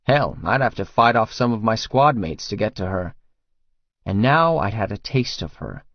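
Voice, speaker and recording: A man's voice: fairly low pitch, animated, moderate pace, no room sound, muffled recording. A man reading first-person romance fiction as an audiobook narrator, in a scripted storytelling style.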